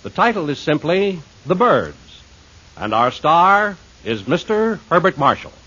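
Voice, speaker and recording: A man announcing a radio drama in a scripted, formal, theatrical 1950s style, with a husky voice. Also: fairly high pitch, very animated, a little slow, noisy background. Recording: muffled 1950s radio recording.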